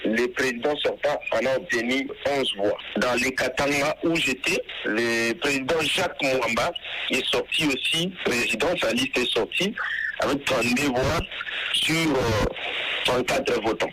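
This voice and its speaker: A man's voice: medium pitch, a little slow. Congolese man on a noisy phone call. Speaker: electoral commission official, interviewee. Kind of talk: formal phone interview reporting results. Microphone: telephone. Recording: noisy telephone call to radio.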